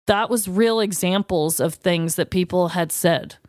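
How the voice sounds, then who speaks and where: A woman co-hosting a true-crime podcast, telling the story in casual, animated chat and laughing often. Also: on the low side, measured pace, no room sound, clean studio sound. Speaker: podcast co-host.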